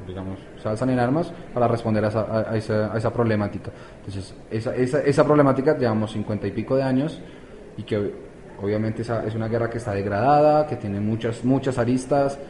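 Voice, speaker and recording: A man's voice: medium pitch, fairly quick, mellow, a little hiss or hum. A man from Colombia, answering interview questions as a youth activist, hesitant with many fillers and restarts.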